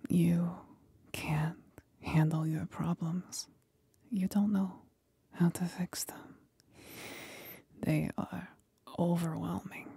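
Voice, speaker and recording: A woman playing a sly, condescending demon companion in an ASMR roleplay, speaking in a husky, breathy whisper with slow, teasing deliberation. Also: lowish voice, level tone, dark tone, no room sound, full character voice. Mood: cool, probing.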